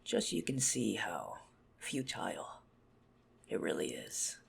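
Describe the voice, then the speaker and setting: A man playing a possessive, menacing, smug vampire stalker in an ASMR roleplay, haughty and teasing in a deliberate murmur. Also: on the low side, very animated, vocal fry, a little hiss or hum, heavily acted. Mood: mocking, haughty.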